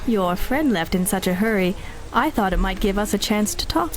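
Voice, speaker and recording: A woman's voice: mid-range voice, expressive, moderate pace, lightly acted, rough recording. An actress playing a cool, teasing, evasive female stranger in a 1930s-style radio drama. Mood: coy, smug. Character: coy, smug, mysterious woman stranger. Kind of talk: 1930s radio adventure acting.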